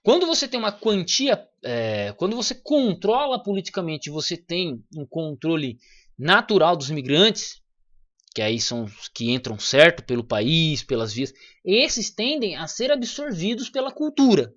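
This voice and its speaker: A man's voice: on the high side, very animated, unhurried, fairly bright tone, dull audio. A Brazilian man with a Brazilian Portuguese accent, hosting a casual opinion monologue in a blunt, slangy manner with free swearing. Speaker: channel host.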